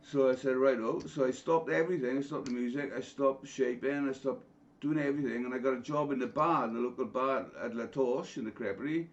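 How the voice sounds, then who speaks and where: A man's voice: medium pitch, a little fast, hardly any pauses. A middle-aged man telling blunt, sweary anecdotes in a casual group chat. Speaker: guest telling his own story. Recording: dull lo-fi online call audio.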